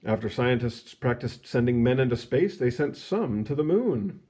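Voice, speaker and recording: A man reading a children's science book aloud in an expressive, explanatory storytelling manner. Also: medium pitch, normal speed, breathy edge. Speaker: read-aloud narrator.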